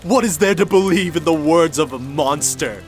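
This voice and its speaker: An actor playing a grave, righteous vampire hunter in a radio drama, declaiming in a solemn, commanding way. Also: male voice, a little high, normal speed, faint music behind, close to an everyday voice. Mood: furious, accusing.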